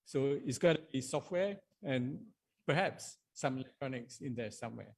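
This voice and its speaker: A man's voice: medium pitch, lively intonation, normal speed, creaky, darkish tone, slightly rough. A man on a forum panel adding a point, hesitant with many fillers. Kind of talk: panel discussion.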